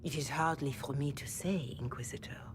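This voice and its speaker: A woman playing a calm, devout, gently wry older clergywoman in a video game, speaking with formal, measured courtesy. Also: deep voice, noisy background, natural voice. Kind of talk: video game cutscene dialogue acting.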